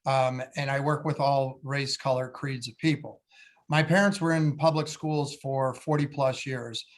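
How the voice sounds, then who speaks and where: A man's voice: mid-range voice, steady tone, unhurried. A middle-aged American man, a political candidate, giving an answer at a candidate forum. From Dearborn, Michigan, US. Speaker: mayoral candidate, financial advisor.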